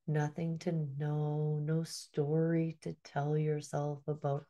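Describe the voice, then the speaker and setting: A middle-aged woman guiding a hypnosis session in a slow, soothing way, often sinking into a soft whisper. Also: sits low.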